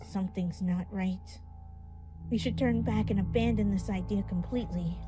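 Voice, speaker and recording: A woman's voice: medium pitch, steady tone, moderate pace, warm tone, soft background music, lightly acted. A woman voicing a cautious, fearful female unicorn pony who turns snappish, in a horror fan fiction reading. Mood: wary.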